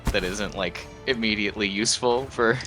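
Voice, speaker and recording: A man's voice: fairly low pitch, moderate pace, pushed and bright, soft background music. A man chatting casually as a player in a tabletop game, narrating what his character does. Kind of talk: casual chat and roleplay narration.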